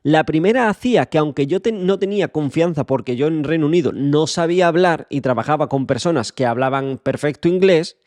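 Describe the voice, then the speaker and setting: A young man from Extremadura, hosting a podcast as a blunt motivational monologue, swearing freely and slowing down to stress key words. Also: a little high, darkish tone, no echo. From Extremadura, Spain. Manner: blunt, swears freely, lecturing the listener directly. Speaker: podcast host.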